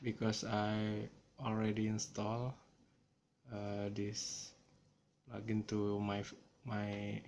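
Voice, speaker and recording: Indonesian guy doing a coding tutorial on his phone, slow and hesitant, lots of uh, bit of background hiss. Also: on the low side, completely flat delivery, creaky, a little mellow. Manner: slow, hesitant, apologetic, many fillers. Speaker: software tutorial maker. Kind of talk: screen-recorded coding tutorial. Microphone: smartphone camera filming screen.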